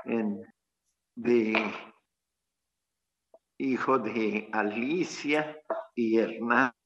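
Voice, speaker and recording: Slow, reflective priest. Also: male voice, lowish voice, a bit bright, muffled recording. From Colombia. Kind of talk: radio interview guest telling his life story.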